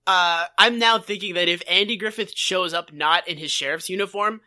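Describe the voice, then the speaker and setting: A woman's voice: on the low side, moderate pace, bright, forceful tone. A woman co-hosting a podcast with casual comedic commentary on television, sarcastic and sweary, riffing in imagined quotes. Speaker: podcast co-host.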